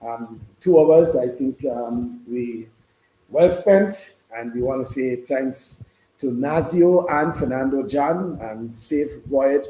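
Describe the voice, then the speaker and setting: A man hosting a casual live sports discussion, speaking haltingly and repeating himself. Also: mid-range voice, dark tone. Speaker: sports radio host. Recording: roomy, noisy room sound.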